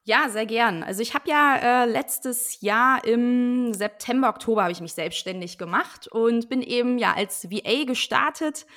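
A woman's voice: fairly high pitch, normal speed, few pauses, fairly bright tone. A woman in her late twenties, a guest on a podcast, explaining her business in a chatty way with frequent fillers. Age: 20s. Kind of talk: casual interview chat.

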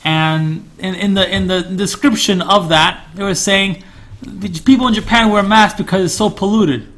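A man's voice: high voice, steady tone, normal speed, a bit bright. A man, chatting casually and rambling through stories, correcting his co-host's English. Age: 40s. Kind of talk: casual chat.

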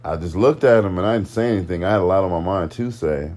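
A laid-back man co-hosting a casual podcast, his voice sitting very deep. He talks in short, unhurried bits and leaves things unexplained. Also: a little mellow. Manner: laid-back, terse, under-explaining. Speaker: podcast co-host. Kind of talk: casual chat.

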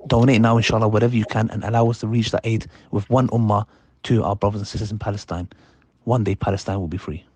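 A man's voice: fairly low pitch, fairly even intonation, fast talker, a little mellow, breathy edge, no room sound. A man making an urgent, pleading charity appeal for donations.

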